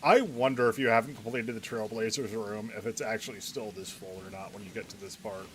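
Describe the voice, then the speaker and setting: A man's voice: lowish voice, steady tone, brisk, slight background noise. A man giving casual gameplay commentary, with wry, offhand asides. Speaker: game streamer.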